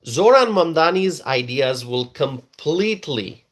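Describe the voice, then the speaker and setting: Emphatic man with a higher voice. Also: animated, measured pace, a bit bright. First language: Bengali. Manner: emphatic, repeats words for stress. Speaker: political commentator. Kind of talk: opinion monologue.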